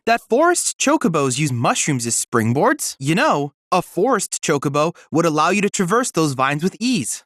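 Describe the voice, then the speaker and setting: Teenage boy android assistant, polite, eager, very animated pitch, precise formal diction, dry close sound, video game dialogue. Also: no room sound. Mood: helpful, advising. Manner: precise, formal, articulate, earnest.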